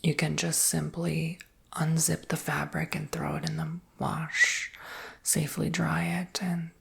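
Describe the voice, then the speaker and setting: An American man whispering an ASMR roleplay as a salesperson, softly and reassuringly explaining a product without hurry, in a breathy, husky whisper. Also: a little high. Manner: soft, unhurried, reassuring explaining.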